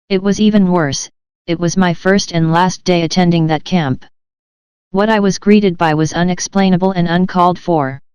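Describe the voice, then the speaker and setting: An audiobook narrator reading a first-person memoir in a steady, even manner. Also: medium pitch, clear, resonant tone, dry recording.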